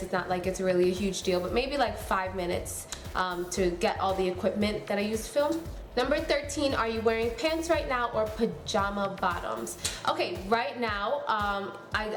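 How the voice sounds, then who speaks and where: A woman's voice: medium pitch, reverberant. A young woman in her twenties talking to camera as a beauty YouTuber at home, in a casual, chatty way full of "um" and "like". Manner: chatty, many fillers like "um" and "like". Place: room at home.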